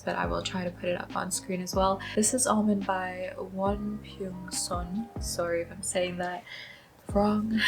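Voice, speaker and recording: A young woman chatting casually to camera as a book vlogger, upbeat and quick to laugh. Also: lowish voice.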